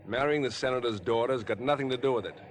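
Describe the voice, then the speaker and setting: A man's voice: mid-range voice, fast, natural voice. An actor in a 1950s radio drama playing a strained, upright young man, earnest and clipped. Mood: guarded. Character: guarded, strained, upright young tennis player. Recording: vintage 1950s radio broadcast.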